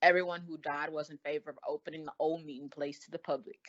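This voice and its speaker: A man's voice: fairly high pitch, little pitch movement, quick pace, a bit bright, close to an everyday voice. Guy doing village sheriff, earnest n respectful, old radio play acting, muffled lo-fi sound. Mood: earnest, worried. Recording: dull lo-fi radio play recording.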